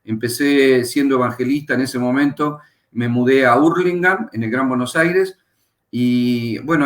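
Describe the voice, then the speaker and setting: Unhurried Argentine man in an echoey room. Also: mid-range voice, a bit bright. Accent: Argentine Spanish. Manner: unhurried, reflective, pauses with fillers. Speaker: pastor and Bible teacher, guest. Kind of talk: interview, telling his life story.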